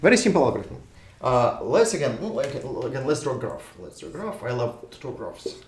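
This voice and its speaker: A man's voice: mid-range voice, huge pitch swings, fairly quick. Russian-accented man lecturing in an echoey room. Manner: repetitive restarts, thinks aloud while drawing. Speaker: university lecturer. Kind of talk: classroom lecture at a whiteboard. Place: lecture hall. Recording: echoey room, voice drifts off mic.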